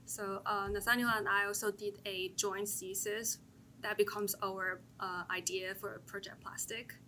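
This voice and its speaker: Hesitant bright-voiced woman from China. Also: medium pitch, flat delivery, measured pace, a little hiss or hum. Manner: hesitant, brief, many fillers. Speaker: startup co-founder. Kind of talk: interview answers.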